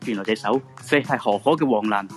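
A man's voice: fairly low pitch, brisk, over music. A man with a Hong Kong Cantonese accent narrating a radio drama in scripted, dramatic storytelling style.

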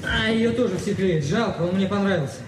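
A downcast, terse fellow played by a man in radio theatre, with a high voice for a male. He sounds distant and echoey, with crowd noise behind. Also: a little fast, natural voice. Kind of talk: Soviet radio drama acting.

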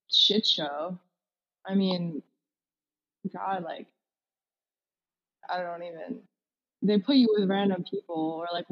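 A young woman from California, a podcast guest speaking candidly and bluntly with casual swearing. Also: fairly low pitch. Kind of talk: casual chat. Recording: dull lo-fi audio.

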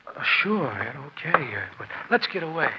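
An actor playing a nervous, frightened first-time thief, jittery and stammering, in an old radio crime drama with muffled, noisy sound. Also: male voice, fairly high pitch, big pitch swings, moderate pace. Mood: panicky.

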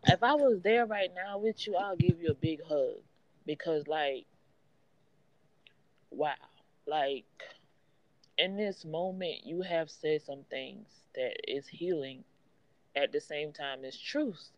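A woman from the United States, co-hosting a podcast, speaks in a heartfelt, halting way. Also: low voice, no echo. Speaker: podcast co-host. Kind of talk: casual conversation. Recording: dry, dull lo-fi audio.

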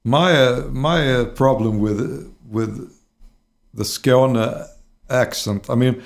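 A man's voice: mid-range voice, slow pace, gravelly. A man with a Swedish accent talking about his singing career in English, hesitant and full of fillers and repeated words. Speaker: singer, interview guest. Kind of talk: casual conversational interview.

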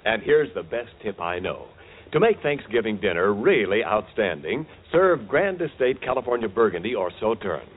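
Animated 1940s radio pitchman, tinny broadcast. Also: moderate pace, husky. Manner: polished, emphatic sales delivery. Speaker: radio announcer and sponsor pitchman. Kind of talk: 1940s radio advertising announcing.

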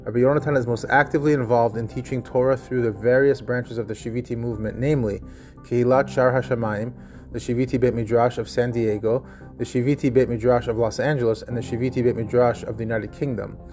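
A man hosting a podcast, reading a formal, measured introduction of his guest. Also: mid-range voice, fairly even intonation, a little mellow. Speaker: podcast host. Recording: faint guitar music behind. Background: faint guitar music.

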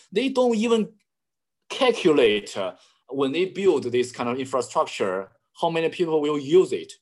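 Hesitant man with frequent restarts. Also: mid-range voice. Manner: hesitant, many fillers and restarts. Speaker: interview guest, analyst. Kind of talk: conversational interview answers.

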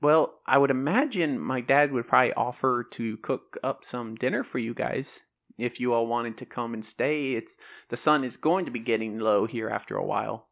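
A man voicing a little boy who is eager and a bit guilty, talking quickly and pleading. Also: medium pitch, creaky, a bit bright.

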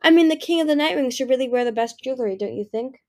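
A woman's voice: a little high, brisk, close to an everyday voice. Anemone, a woman voicing a spoiled young dragon princess. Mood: sugary. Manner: bright, wheedling and whiny.

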